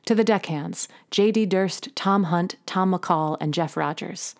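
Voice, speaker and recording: Woman, slightly low register, scripted narration for an audio drama's announcements and credits. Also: unhurried, vocal fry, darkish tone. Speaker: podcast narrator reading closing credits.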